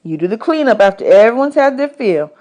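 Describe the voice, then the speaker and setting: A woman's voice: on the high side, big pitch swings, a little fast, a little mellow. A woman voicing a folksy drifter man in a storybook hobo camp, plainspoken and advising. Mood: welcoming.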